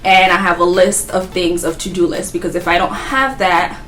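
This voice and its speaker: A woman's voice: fairly low pitch, fairly even intonation, moderate pace, fairly bright tone, soft background music. Chatty young woman from Haiti. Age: 20s. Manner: chatty, rambling, laughs often. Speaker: vlogger. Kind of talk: casual get-to-know-me chat.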